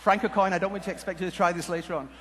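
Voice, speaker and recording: A man giving a humorous conference talk, telling stories in a jokey, halting, rambling way. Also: high voice, fairly even intonation. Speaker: conference speaker. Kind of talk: humorous stage presentation with storytelling. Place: large conference hall before an audience. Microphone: headset mic. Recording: echoey hall PA with background noise.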